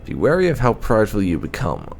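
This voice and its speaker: Man voicing a deep, stern Templar commander. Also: huge pitch swings, fast talker, creaky, a little mellow, a little hiss or hum, heavily acted. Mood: grave warning. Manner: grave, cautionary lecturing. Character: stern male Templar commander lecturing his men.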